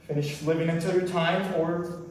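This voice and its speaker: A man's voice: on the high side, steady tone, normal speed, darkish tone, slight background noise, natural voice. Heard from off the mic in a very echoey hall, a lonely afterlife official played by a man talks in a stage drama. He is conflicted, trying to be blunt but faltering and repeating himself. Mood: measured, blunt. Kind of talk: staged play reading. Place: indoor performance space before an audience. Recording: echoey hall, distant microphone.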